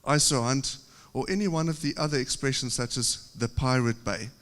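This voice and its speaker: A man with a South African accent gives a technical talk from a podium microphone. His pitch sits in an ordinary middle range, and the long reverb of a large conference hall trails behind each phrase. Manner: steady explanatory delivery, occasional filler pauses. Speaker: conference presenter.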